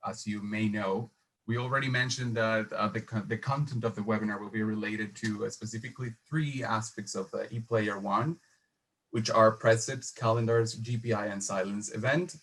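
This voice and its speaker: Low-voiced man opening a webinar. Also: steady tone, normal speed, fairly bright tone, poor audio. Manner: hesitant, many fillers. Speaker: webinar moderator. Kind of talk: introducing a webinar.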